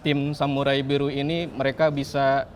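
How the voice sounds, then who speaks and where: A man giving football analysis in conversation, measured and explanatory. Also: medium pitch, flat delivery. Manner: measured, explanatory, uses filler "gitu".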